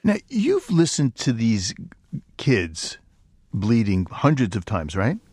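A man's voice: mid-range voice, wildly swooping pitch, takes it slowly, darkish tone, slightly rough, no echo. A man from Brooklyn with a New York accent, hosting a public radio interview with curious, playful questions. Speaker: radio show host. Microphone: studio microphone.

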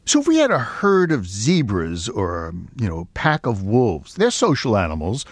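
A man's voice: lowish voice, huge pitch swings, normal speed, no room sound. A man from Brooklyn with a New York accent, hosting a public radio interview with curious, playful questions. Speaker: radio show host. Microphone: studio microphone.

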